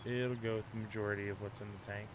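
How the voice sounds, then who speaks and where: A man's voice: on the low side, level tone, quick pace, background noise. A man giving casual gameplay commentary, easygoing and rambling, sounding slightly congested. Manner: easygoing, rambling, self-deprecating. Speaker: gaming channel host.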